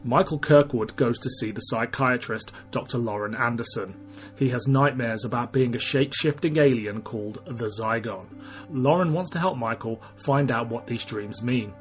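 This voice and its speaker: A man's voice: mid-range voice, normal speed, faint music behind. A British man hosting a podcast, moving between prepared notes and chat. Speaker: podcast host. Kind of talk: podcast talk, reading prepared notes and chatting.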